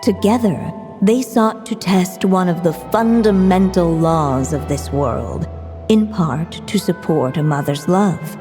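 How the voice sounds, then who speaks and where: Gentle game storyteller-witch; warm-toned, slow, reverberant with score. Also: fairly low pitch. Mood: tender. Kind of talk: scripted narration. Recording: long reverb with music.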